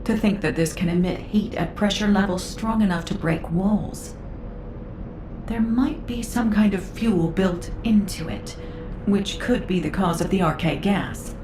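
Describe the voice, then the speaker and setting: A woman's voice: mid-range voice, noisy background, lightly acted. Echoing, composed female game researcher, acted by a woman. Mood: curious, composed. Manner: measured, formal. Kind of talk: video game voice acting. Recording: reverberant voice over loud game music.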